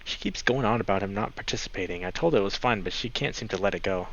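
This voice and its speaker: A man's voice: on the low side, fast talker, a bit bright. A man voicing a hesitant, earnest young male wolf, a brotherly visual novel character weighed down by guilt. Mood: wistful. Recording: slightly dull mic, music behind.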